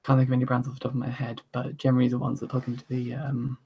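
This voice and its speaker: A man chatting on a livestream in a calm voice. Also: mid-range voice, rapid-fire, creaky, breathy edge. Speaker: guest filmmaker. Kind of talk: casual group chat.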